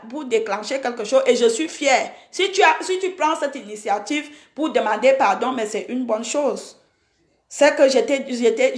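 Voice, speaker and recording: A woman's voice: sits high, reverberant. A woman in her forties, from Cameroon, ranting indignantly at a rival, scolding and repeating herself. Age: 40s. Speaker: social media personality. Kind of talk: angry Facebook live rant.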